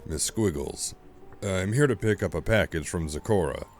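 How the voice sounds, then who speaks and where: A man voicing a weary, henpecked middle-aged stallion with a gravelly voice in a fan fiction drama, polite and hesitant. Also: deep voice, moderate pace, natural voice. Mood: polite, collecting himself.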